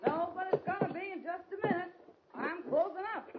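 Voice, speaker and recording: Brassy, high-pitched frontier gal. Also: female voice, a little fast. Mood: brisk, offhand. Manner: bold, colourful folksy exclamations. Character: brassy, flirtatious frontier woman running a warehouse. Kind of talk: 1940s-50s radio Western acting. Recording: muffled, hissy vintage radio recording.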